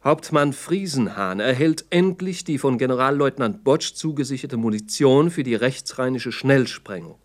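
A male narrator reads a scripted documentary radio drama. His voice sits at a medium pitch. The delivery is sober and factual, laid out like a formal report.

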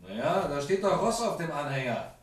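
An actor playing a sceptical, matter-of-fact police inspector in a German detective radio play. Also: male voice, on the high side, expressive, fairly quick, reverberant, slight background noise, close to an everyday voice. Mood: busy searching. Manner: matter-of-fact, questioning, colloquial. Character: plain-spoken, sceptical male police inspector.

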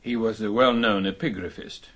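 Low, husky scholarly doctor. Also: male voice, steady tone, moderate pace, fairly bright tone. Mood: earnest, explaining. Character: scholarly male expedition leader, earnest and troubled. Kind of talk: radio drama acting.

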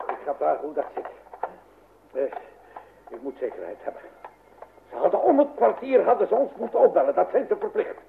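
On a noisy old radio recording, a fussy, anxious middle-aged father. His voice is high and creaky, and he stammers and repeats himself as he blusters. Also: big pitch swings, fairly quick, long pauses, phone-quality sound, full character voice. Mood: flustered. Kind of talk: old radio comedy drama acting.

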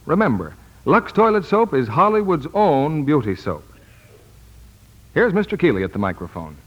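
Old-timey radio ad announcer guy, very lively pitch, muffled recording. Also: moderate pace, breathy edge. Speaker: commercial announcer. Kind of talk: scripted 1940s radio advertising. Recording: dull 1940s radio broadcast.

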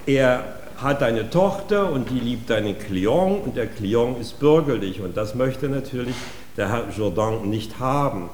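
A man's voice: medium pitch, darkish tone. A man narrating a stage piece informally, with wry, digressive storytelling. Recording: roomy stage sound, faint noise.

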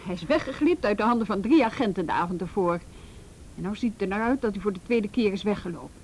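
A woman's voice: mid-range voice, expressive, fast talker, a little mellow, a little hiss or hum, natural voice. A woman acting a firm, businesslike juvenile police officer in a Dutch radio play. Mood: stern, probing.

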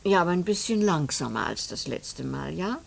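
An actress playing an elderly, devoted mother in a German radio play, chatty and wistful, with halting, tender phrasing. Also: on the low side, sing-song, gravelly, full character voice. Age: older. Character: elderly devoted mother, pious, chatty, anxious for her son.

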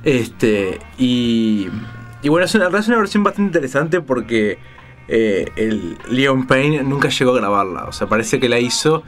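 Man, Rioplatense Spanish accent, music show presenter, casual commentary, mid-range voice, digressive, soft music behind. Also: mid-range voice, big pitch swings, unhurried. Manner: conversational, digressive, thinking aloud as he explains.

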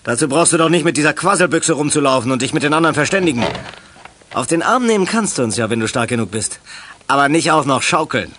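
Menacing young crook for 1980s radio play: sarcastic, slangy, very animated, faint noise. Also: male voice, a little high, quick pace. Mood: mocking, threatening. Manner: threatening, sarcastic street-slang delivery. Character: menacing, sneering young male drug dealer and kidnapper.

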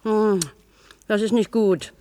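An actor playing an anxious, obedient cellar worker who is fearful of authority, cautioning and dutiful, with a husky voice in a science-fiction radio drama. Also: male voice, high-pitched, level tone, slow, dark tone. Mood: insistent, submissive.

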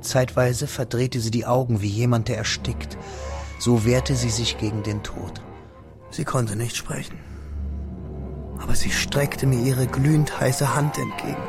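An actor playing a wistful, restrained young man who narrates a radio drama in measured, hushed tones. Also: mid-range voice, steady tone, over music, lightly acted. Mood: grave. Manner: measured, hushed, drops to near whispers.

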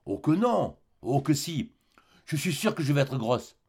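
A man's voice: on the high side, very animated, a little slow, a little mellow, breathy edge, exaggerated voice. A man voicing a plaintive young peasant wife who frets and protests in an audiobook story. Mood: fretful protesting.

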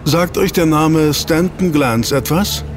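A man's voice: on the high side, slow pace, faint music behind. A man playing an eager researcher in a German audio drama, explaining to his team and teasing out reveals with rhetorical questions. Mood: explanatory.

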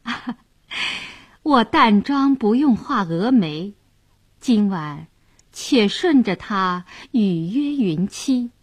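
Woman acting a bold, defiant young wife, lively pitch. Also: breathy edge, dry recording, exaggerated voice. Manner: scornful, bold, theatrical.

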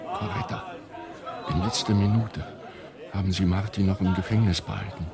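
A man's voice: lowish voice, big pitch swings, normal speed, creaky. An actor playing a grim, bitter man who brings bad news in a radio play. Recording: crowd noise behind the voice.

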